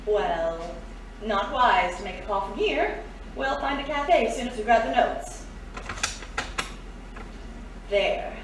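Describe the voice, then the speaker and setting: A woman's voice: a little high, expressive, takes it slowly, pauses often. An actress playing a jealous, scheming woman in a period noir radio drama, dramatic and pleading. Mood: breezy, sly. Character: desperate scheming jealous woman, old flame of a crook. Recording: roomy, distant, faint background noise.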